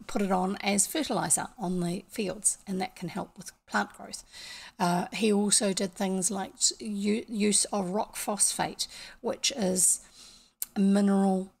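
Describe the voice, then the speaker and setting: A woman's voice: medium pitch, creaky, a little mellow, husky. An older woman from New Zealand with a New Zealand accent, chatting casually on a home stream, rambling and explaining with many asides. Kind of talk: casual storytelling chat. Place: small home room, indoors.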